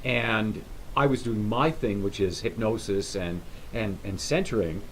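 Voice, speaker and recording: Male host, 50s, chatty and halting with restarts, faint hiss under the voice. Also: fairly low pitch, a bit bright, poor audio. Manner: rambling, halting with many restarts. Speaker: talk show host. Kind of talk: casual interview chat.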